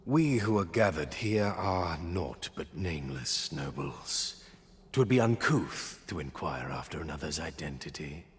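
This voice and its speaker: A man's voice: very deep voice, lively intonation, measured pace, slightly rough, exaggerated voice. An actor playing a haughty masked nobleman in a fantasy video game, speaking in formal, archaic diction. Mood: hushed courtesy.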